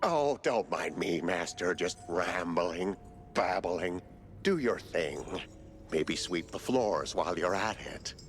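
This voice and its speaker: An actor playing an eccentric, servile lab caretaker, theatrical and rambling, in a cartoon game. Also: male voice, low voice, animated, slow pace, slight background noise. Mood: cryptic, eerie. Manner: theatrical, babbling, obsequious.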